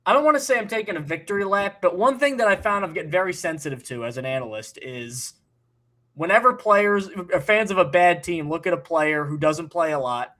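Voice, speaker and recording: A man's voice: high-pitched, expressive, fairly quick, fairly bright tone. A man co-hosting a sports podcast as an analyst, giving blunt, sarcastic takes in casual chat.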